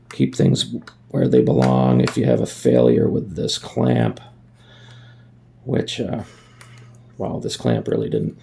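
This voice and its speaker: A man's voice: mid-range voice, vocal fry, mellow. A man giving a casual hands-on tutorial in a folksy way, thinking aloud as he works, recorded on a phone. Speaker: amateur DIY video maker.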